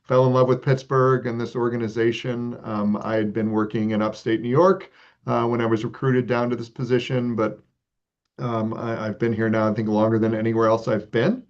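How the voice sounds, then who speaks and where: A man from Texas, a guest leading an arts organization, answering interview questions in a relaxed, earnest way with many ums and uhs. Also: mid-range voice, normal speed. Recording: slightly dull lo-fi audio.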